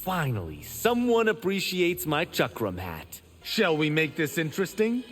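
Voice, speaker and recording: A cocky, vain young monk. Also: male voice, fairly high pitch, huge pitch swings, unhurried, fairly bright tone, heavily acted. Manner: boastful. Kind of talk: video game dialogue.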